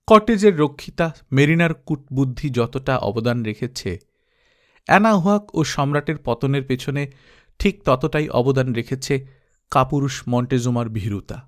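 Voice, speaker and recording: A man reading an adventure novel aloud as narrator, steady and dramatic. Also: medium pitch, normal speed. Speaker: audiobook narrator. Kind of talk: storybook reading.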